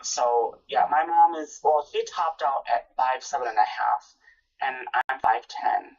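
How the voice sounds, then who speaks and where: A woman's voice: rumbling low, a little slow, far from the mic, highs cut off. A woman from Minnesota with a Minnesotan accent chatting casually, repeating and stammering as she talks. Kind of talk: casual chat.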